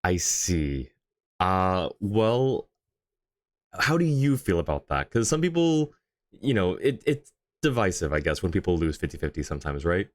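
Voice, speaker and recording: Low-voiced man chatting casually on stream. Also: sing-song, unhurried. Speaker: livestream host. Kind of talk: casual livestream chat, reading and answering viewer messages.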